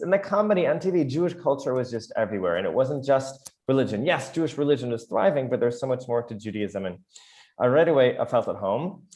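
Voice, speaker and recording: A man's voice: mid-range voice, darkish tone. A man in his thirties with a Chicago American accent, giving a casual, chatty talk as guest educator over a video call, with many fillers and light self-deprecating humor. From United States.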